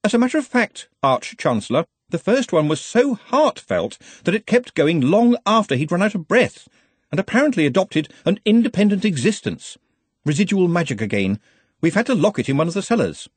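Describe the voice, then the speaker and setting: A man's voice: sits high, big pitch swings, fairly quick, slightly rough, no room sound, pitched above the performer's own voice, exaggerated voice. A man voicing an anxious, pedantic, long-suffering wizard's assistant in a storybook, fussy and deferential. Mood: earnest reporting.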